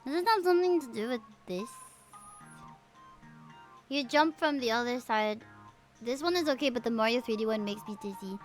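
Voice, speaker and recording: A young woman livestreams casual game commentary in a bubbly, expressive, playful way, chatting with viewers as she plays. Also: fairly high pitch, moderate pace, long pauses, soft background music. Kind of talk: casual gameplay commentary with chat replies.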